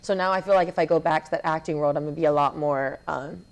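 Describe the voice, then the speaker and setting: A woman's voice: low-pitched, fairly even intonation, fast. A young woman, a student theater director and actor, answering questions conversationally as an interview guest. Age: young adult.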